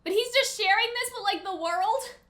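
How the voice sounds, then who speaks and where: A woman hosting a casual reaction and review commentary in an expressive, conversational, slangy way. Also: extremely high pitch, normal speed. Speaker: reaction video host and reviewer.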